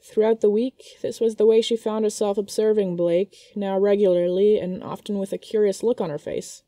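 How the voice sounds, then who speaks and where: A woman's voice: medium pitch, steady tone, moderate pace, mellow, no echo. A woman reading scripted narration of a fan fiction story as its narrator.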